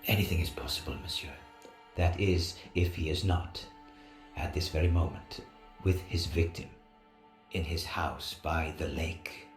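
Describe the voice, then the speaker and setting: A man playing a grave, secretive Persian stranger in an audio drama, speaking formally and deliberately in a hushed voice that often drops to whispers. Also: sits low, steady tone. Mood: secretive, wary. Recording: echoey, roomy sound with music.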